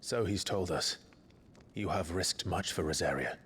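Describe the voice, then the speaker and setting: Earnest, low-voiced young warrior. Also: male voice, a little slow, fairly bright tone, breathy edge, exaggerated voice. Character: earnest, noble warrior nephew, long thought dead. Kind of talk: dramatic video game acting.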